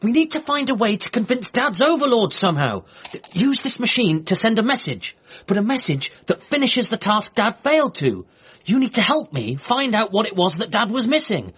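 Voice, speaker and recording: A man's voice: sits high, very animated, normal speed, fairly bright tone, breathy edge, highs cut off, full character voice. An actor playing an anxious, awkward son in a radio comedy drama, stammering and flustered as he talks. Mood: frantic. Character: anxious, awkward bereaved son, excitable and sarcastic.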